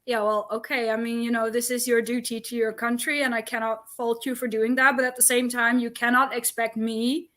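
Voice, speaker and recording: A woman co-hosting a book livestream, giving opinions in a halting, conversational way and voicing imagined thoughts. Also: a little high, little pitch movement, a bit bright. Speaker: co-host of a book discussion livestream. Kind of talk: casual opinionated chat. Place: online livestream call.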